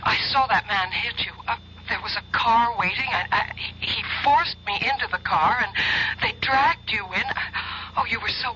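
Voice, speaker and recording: Devoted, anxious woman for vintage radio drama: bright, emotional, dull lo-fi recording. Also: fairly high pitch, normal speed. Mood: worried. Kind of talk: 1970s radio drama acting. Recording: dull, thin old radio recording, faint noise.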